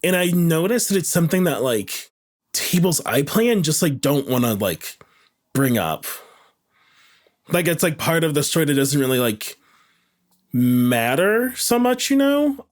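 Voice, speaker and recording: A man with a somewhat high voice takes part in a casual podcast panel. His talk is halting, as he feels his way toward the right words. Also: big pitch swings.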